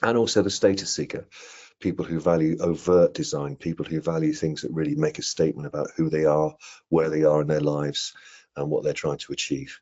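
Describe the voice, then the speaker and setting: British man, very low husky voice, product presenter, dull call audio. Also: fairly even intonation, a little fast, a little mellow. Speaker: product marketing director presenting. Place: indoor room on a video call.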